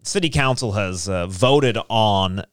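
A man's voice: on the low side, expressive, slow pace, clean tone, no echo. An American man co-hosting a talk show in a casual, conversational manner. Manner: informal, conversational. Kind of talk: casual chat.

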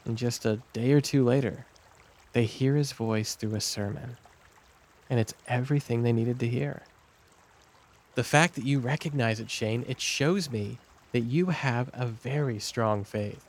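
Young camp counsellor, average male pitch, earnest, reassuring, halting, naturalistic audio drama. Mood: earnest, preachy. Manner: hesitant, reassuring.

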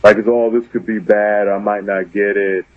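A man's voice: lowish voice, little pitch movement, moderate pace, no echo, phone-quality sound. A man, a comedian guest on a podcast, telling a story in an earnest, self-deprecating way.

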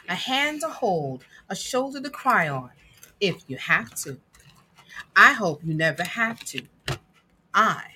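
A woman reading a picture book aloud with emphatic, dramatic phrasing and deliberate pauses. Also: medium pitch, sharp tone, a little hiss or hum. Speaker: guest reader and producer.

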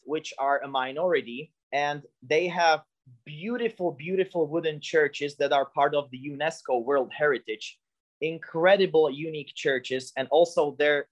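A young man from Slovakia, a comedy creator, chatting as a podcast guest and telling stories with jokes. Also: fairly high pitch. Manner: joking, animated storyteller. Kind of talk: casual podcast conversation.

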